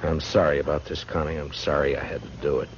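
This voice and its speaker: An actor playing a tough but gentle American marshal in a 1950s radio western, speaking plainly in short sentences. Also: male voice, a bass voice, level tone, fast talker. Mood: somber, gentle. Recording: muffled, noisy 1950s radio recording.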